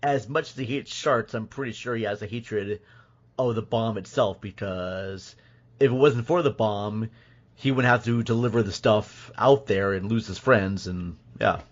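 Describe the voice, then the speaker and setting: A man hosting a podcast, chatting casually about films in a rambling, halting way. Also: fairly low pitch. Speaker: podcast host. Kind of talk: casual film discussion. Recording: slightly muffled audio.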